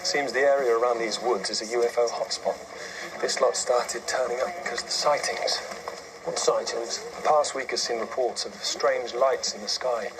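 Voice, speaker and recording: A man's voice: medium pitch, normal speed, natural voice. An actor playing a police detective, briefing his superior in a matter-of-fact way, in a clip from a TV drama. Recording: TV clip with distant noisy sound.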